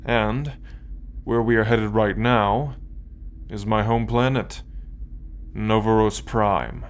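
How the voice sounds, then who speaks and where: A man's voice: fairly low pitch, fairly even intonation, clear, resonant tone. A man playing an arrogant, possessive alien military conqueror in an ASMR roleplay, commanding and condescending as he addresses the listener. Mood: proud, explanatory. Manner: commanding, condescending, slow deliberate delivery.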